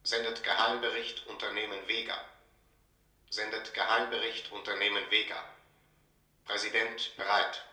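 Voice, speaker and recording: An actor playing an official announcer in a science-fiction radio play, calling out formally and impersonally. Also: male voice, lowish voice, flat delivery, moderate pace, bright, forceful tone. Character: male announcer relaying bunker and spaceship calls. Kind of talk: German radio drama announcement. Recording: echoing public-address style sound.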